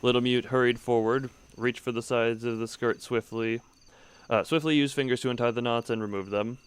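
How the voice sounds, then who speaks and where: A man's voice: mid-range voice, steady tone, normal speed, smooth. A man streaming a story game, reading the text aloud and adding wry asides, often breaking off mid-sentence and restarting, close to the mic. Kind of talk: let's-play commentary and reading aloud.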